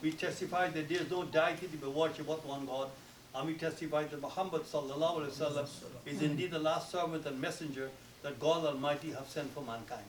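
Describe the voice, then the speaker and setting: A man from Guyana with a Guyanese accent, officiating a wedding and giving a solemn, formal sermon with rapid Arabic recitation. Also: fairly high pitch, fairly even intonation, echoey room, far from the mic, slight background noise, low-quality recording.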